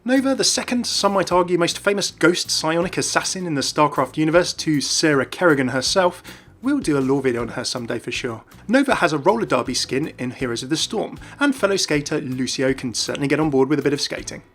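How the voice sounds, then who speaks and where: Fast, animated male gaming host. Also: fairly high pitch, soft background music. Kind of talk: enthusiastic scripted narration.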